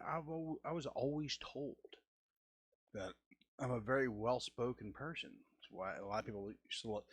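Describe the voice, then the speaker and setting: Husky-voiced man in his forties, halting. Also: a bit bright, dry recording. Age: 40s. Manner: halting, restarts and stammers, deliberate lowered delivery. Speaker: host. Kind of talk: casual art-stream chat.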